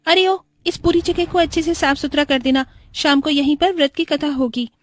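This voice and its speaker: A woman acts out a spoiled young wife of a rich house in a cartoon tale. Her voice sits very high and close, with a sharp, haughty edge. She talks down to people, demanding and bossy. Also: steady tone, normal speed, no echo, lightly acted. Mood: scornful. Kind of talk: cartoon moral story voice acting.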